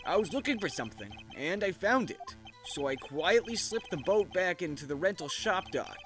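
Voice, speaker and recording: A high, bright man's performance of a young slacker on the witness stand in a game dub. He is brash, whiny and defensive, swinging widely in pitch, with slangy delivery. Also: sing-song, moderate pace, soft background music, poor audio. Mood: earnest. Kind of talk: video game fandub acting.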